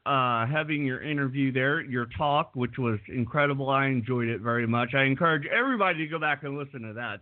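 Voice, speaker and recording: A man co-hosts and produces a radio talk show, rambling through fillers and rushing in bursts. His voice sits a little high for a man. The sound is dry and narrow, like a phone line. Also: no echo. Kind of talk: casual radio chat.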